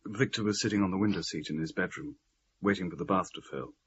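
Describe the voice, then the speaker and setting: An actor playing an earnest, rational-minded man, telling of a haunting in measured radio-play narration. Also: low voice, slightly rough. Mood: measured, intrigued. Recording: slightly muffled, faint background noise.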